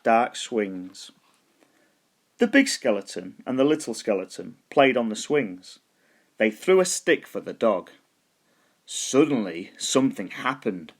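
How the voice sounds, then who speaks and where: A man reading a children's story aloud as scripted narration, deliberate and expressive, stretching words for emphasis. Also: mid-range voice. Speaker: storybook reader.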